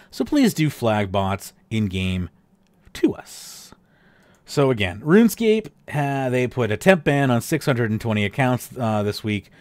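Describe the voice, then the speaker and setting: A man's voice: medium pitch, dry recording. A man hosting a casual podcast, reading out game statistics with sarcastic asides, his voice a little worn from losing it. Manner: animated, sarcastic asides, trails off with fillers. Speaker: podcast host.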